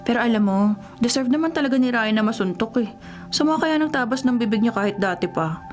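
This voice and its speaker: A woman acts as a tomboyish young best friend in a radio drama. Her voice sits fairly high, with an easygoing, playful and caring way of talking. Music plays clearly behind her. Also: animated, quick pace, close to an everyday voice. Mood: musing. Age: young adult.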